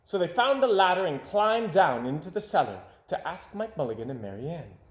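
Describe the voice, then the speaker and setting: A man's voice: on the high side, very animated, fairly quick. A man reading a children's story aloud with dramatic delivery, varying volume and tempo for suspense. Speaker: storybook reader. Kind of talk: animated children's read-aloud narration. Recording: roomy home recording.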